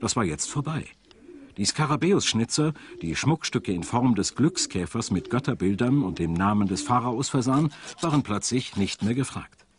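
A man's voice: medium pitch, animated, normal speed. A man reading scripted documentary narration in German voice-over style. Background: background music.

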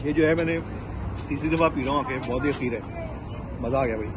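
A man's voice: mid-range voice, fast talker. A man in his thirties casually reviewing food at an outdoor food truck park, savoring each bite and mixing Hindi with English, filmed on a handheld phone. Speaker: food vlogger. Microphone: handheld phone camera. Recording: outdoor street noise. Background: outdoor traffic and street ambience.

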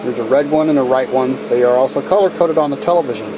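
A man talks through a simple do-it-yourself setup in a casual, folksy way, stopping for fillers and restarting sentences. His voice sits at an ordinary middle pitch. It comes through noisy camcorder audio with a steady hum underneath. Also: quick pace. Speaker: DIY video maker. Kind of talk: casual how-to demonstration. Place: inside a motor home at night.

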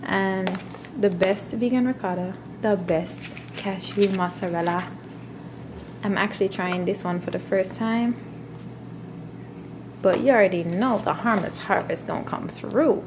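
A woman chatting casually as a vlogger, with an upbeat, expressive manner. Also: medium pitch, unhurried, background noise. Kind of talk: casual vlog chat.

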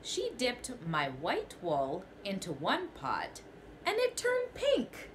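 A woman's voice: medium pitch, huge pitch swings, slow, slight background noise. A young woman teacher reading a children's picture book aloud in a small bedroom, telling it expressively in the first person.

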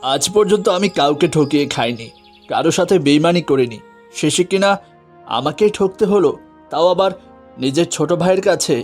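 A man voicing an honest, weary, gentle poor village father in a cartoon. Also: a little high, lively intonation, fairly quick, soft background music. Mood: sorrowful. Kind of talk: cartoon fairy tale voice acting.

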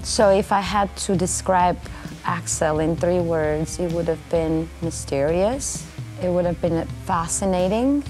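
A woman's voice: lowish voice, a little slow, darkish tone, soft background music, rough recording. A woman in her thirties from Armenia with an Armenian accent, talking about her life as a musician in an interview. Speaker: cellist and vocalist. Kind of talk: interview testimonial. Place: indoor backstage area, seated.

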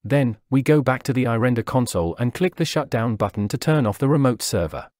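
A man narrating a scripted software tutorial, walking through each step in a steady, instructional way. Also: medium pitch, a little fast, no room sound, studio quality. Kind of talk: scripted software walkthrough voice-over. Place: voice-over over screen recording.

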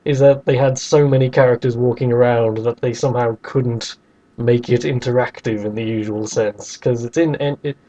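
A man hosting a podcast, chatting casually and with opinions about video games, in an animated way. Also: medium pitch, normal speed, highs cut off. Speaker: podcast host.

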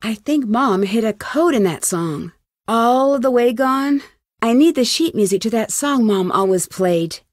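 A woman's voice: a little high, lively intonation, measured pace, dry recording, studio quality. An actress voicing a determined, inquisitive young woman detective with an American accent in a video game, earnest and questioning. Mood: thoughtful. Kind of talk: video game voice acting, isolated dialogue lines.

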